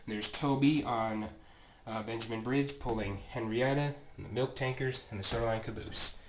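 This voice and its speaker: A man's voice: medium pitch, fairly even intonation, moderate pace. A man with a stuffy, slightly scratchy voice talks casually through a tour of his train room at home. He rambles and corrects himself, with plenty of fillers. A camera mic held close picks him up, with a faint clicking underneath. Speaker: toy train vlogger. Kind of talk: casual show-and-tell narration. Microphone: handheld camera mic, filming close.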